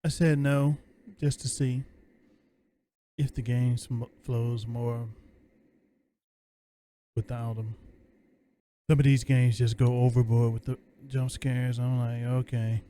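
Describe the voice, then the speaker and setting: A man's voice: mid-range voice, little pitch movement, takes it slowly, pauses often, mellow, a little hiss or hum. A man casually commenting on a horror game as he plays, musing aloud in a laid-back way. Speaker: gameplay streamer.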